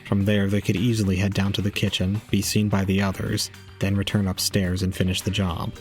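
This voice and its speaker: A man playing a stoic, aloof young murder suspect in an audio drama, terse and deadpan. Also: sits low, fairly quick, faint music behind. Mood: cold, calm.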